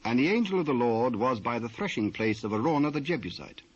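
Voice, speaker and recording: British guy narrating the bible, formal and measured, kinda husky, dull old tape recording. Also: mid-range voice, expressive. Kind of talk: scripted dramatised Bible narration. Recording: muffled old cassette transfer.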